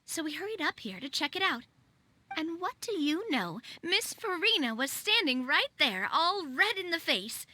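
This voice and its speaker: A woman's voice: extremely high pitch, sing-song, normal speed, bright, forceful tone, heavily acted. A woman voicing a confident, outgoing and playful young lady boss in a video game, exuberant and friendly. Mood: storytelling, amused. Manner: exuberant, friendly, self-assured. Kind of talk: video game character dialogue.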